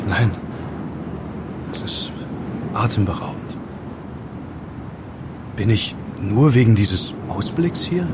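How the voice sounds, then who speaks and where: A man's voice: on the low side, expressive, takes it slowly, long pauses, heavy background noise. A man playing a puzzled young hacker in an audio drama, with a wary, curt, questioning manner. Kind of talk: German audio drama acting.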